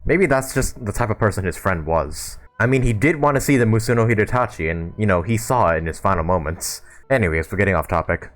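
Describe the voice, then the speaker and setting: A man's voice: fairly low pitch, lively intonation, fast, few pauses. A man from Canada giving informal, slangy let's play commentary as he muses over a game's story. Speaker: gaming video host.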